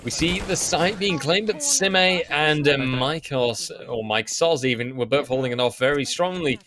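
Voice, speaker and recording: A man's voice: a little high, normal speed, noisy background. A man commentating an online shooter match, friendly and easygoing, apologizing for mangled player names. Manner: friendly, laughs easily, apologetic about pronunciation. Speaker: esports co-caster. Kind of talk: esports color commentary. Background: players' voice chat in another language.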